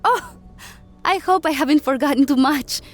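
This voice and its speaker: A woman's voice: sits high, normal speed, close to an everyday voice. A woman playing a proud, candid South American engineer and former dancer in an audiobook, with a South American Spanish accent. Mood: eager. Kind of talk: audiobook character acting. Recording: music behind the voice.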